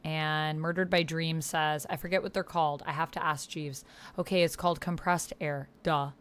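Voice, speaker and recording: A woman's voice: low-pitched, steady tone, normal speed, clean tone. A woman in her thirties chatting informally and playfully as she streams, reading out typed roleplay and reacting to it. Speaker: streamer and player. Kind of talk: casual livestream chat, reading typed roleplay aloud.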